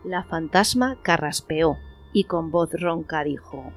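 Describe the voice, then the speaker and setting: A woman's voice: deep voice, takes it slowly, soft background music. A woman reading scripted narration for a radio play.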